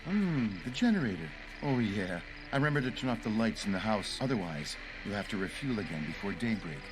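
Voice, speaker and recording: A folksy forest ranger with animated, swinging intonation. He is good-natured and plainspoken, in game cutscene style, with clear music behind him. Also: fairly low pitch, fairly quick, low-quality recording. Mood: tender. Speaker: forest ranger on night shift. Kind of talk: video game cutscene acting.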